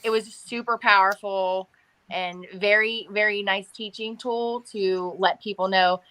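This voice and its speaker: A woman's voice: mid-range voice, steady tone, unhurried, pushed and bright, rough recording. A woman chatting casually as a panelist on a talk show. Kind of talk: casual chat.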